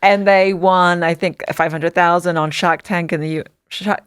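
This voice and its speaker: Low-voiced woman from Samoa. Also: fairly even intonation, moderate pace, clean tone, no room sound, clean studio sound. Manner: earnest, detailed, with frequent restarts. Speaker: podcast guest, dog skincare founder. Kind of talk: interview storytelling.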